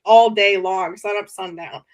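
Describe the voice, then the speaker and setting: A woman's voice: medium pitch, steady tone, a little slow. Woman guest, nasal and congested from a cold, chatty and laughing. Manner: chatty, laughs often, many fillers. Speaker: podcast guest. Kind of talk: casual chat.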